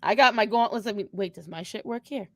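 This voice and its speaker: A woman's voice: medium pitch, quick pace, fairly bright tone, dry recording, clean studio sound, close to an everyday voice. A woman voicing a brash, sassy young woman spellcaster who teases and swears in improvised banter. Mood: dry musing. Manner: cocky, mocking, teasing, profane.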